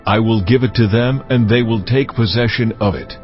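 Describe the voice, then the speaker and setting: Deep, solemn voice of God, played by a man. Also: close to an everyday voice. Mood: commanding. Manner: solemn, measured pronouncements. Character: God, stern, solemn, commanding divine voice. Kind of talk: dramatized scripture reading. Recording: dull sound with music underneath. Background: music.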